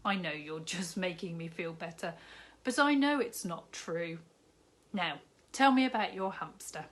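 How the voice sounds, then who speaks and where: A woman voicing a big, jolly, kindly male shopkeeper in a storybook reading, warm and fatherly. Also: lowish voice, unhurried, close to an everyday voice.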